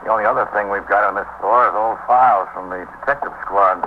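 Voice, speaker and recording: A male actor plays a gruff police squad lieutenant in 1950s radio drama style. He is blunt and practical, with short phrases in a low register. The aged recording is muffled and plainly noisy. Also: quick pace, lightly acted. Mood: tense, businesslike.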